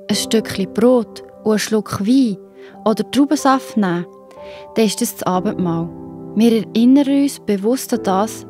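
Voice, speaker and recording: Children's audio play narrator: woman, Swiss German accent, soft mellow tone, scripted storytelling over music. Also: medium pitch.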